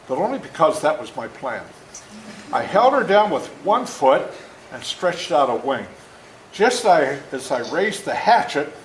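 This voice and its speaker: Older male author for children's read-aloud, folksy and expressive, slightly high voice, roomy sound. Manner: animated, dramatic emphasis, folksy explanations. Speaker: author reading his own book to schoolchildren. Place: indoor room at a school. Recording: echoey room with faint background noise.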